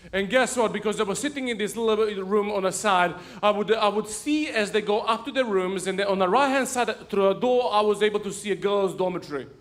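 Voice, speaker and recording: A man preaching in a church, telling funny stories and laughing at his own jokes. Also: high-pitched. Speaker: pastor. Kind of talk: humorous sermon storytelling. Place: church sanctuary.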